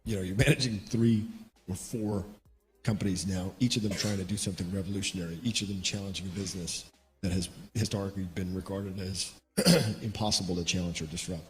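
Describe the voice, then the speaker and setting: Low-voiced male moderator, repeats questions, live stage. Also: steady tone, fairly quick, hardly any pauses, faint music behind. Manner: repeats his questions, rephrasing as he goes. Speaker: interviewer.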